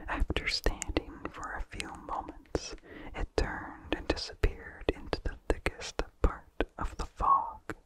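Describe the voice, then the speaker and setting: A narrator reading a vintage horror story entirely in a breathy, hushed ASMR whisper, with deliberate phrasing. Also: low-quality recording.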